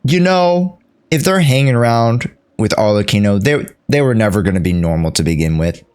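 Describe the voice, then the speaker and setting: A man giving live commentary on a game as a streamer, jokey, with repeated asides and drawn-out reactions. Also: on the low side, lively intonation, darkish tone, clear, resonant tone.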